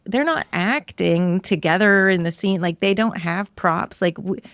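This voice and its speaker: A woman's voice: lowish voice, a little slow, fairly bright tone, dry recording, clean studio sound. A woman hosting a podcast in casual conversation, thinking aloud and rephrasing as she goes. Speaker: podcast host.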